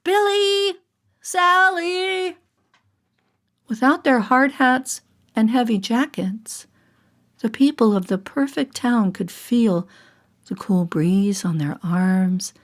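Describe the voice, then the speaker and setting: A woman narrating a children's story in a theatrical, deliberate way, with music behind her. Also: medium pitch, darkish tone. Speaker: storyteller and voice artist.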